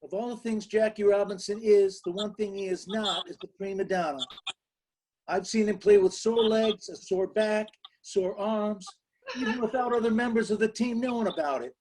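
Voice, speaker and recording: A man playing an earnest, loyal defender of a ballplayer in a dramatic table read, speaking deliberately. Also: sits high, darkish tone, rough recording. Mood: earnest, firm.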